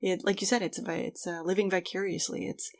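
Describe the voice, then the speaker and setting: A woman's voice: mid-range voice, fairly even intonation, fairly quick, vocal fry. A woman co-hosting a podcast, talking casually and reflectively in an earnest, encouraging way. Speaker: podcast co-host.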